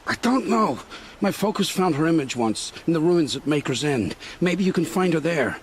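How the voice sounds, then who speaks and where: An actor playing a remorseful, condemned man in a video game drama, speaking gravely with a resigned, pleading manner. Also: a little high, animated, normal speed, a little hiss or hum. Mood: subdued, cooperative. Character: remorseful condemned man, coerced accomplice who loves his family.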